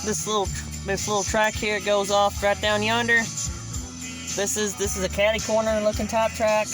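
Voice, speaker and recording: A man's voice: high-pitched, level tone, moderate pace. A man vlogging from his car, rambling and restarting as he keeps calling out to the viewers. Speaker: railfan vlogger. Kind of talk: casual vlog talk to viewers. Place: inside a parked car by a highway. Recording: car radio music playing behind. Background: country music from the radio.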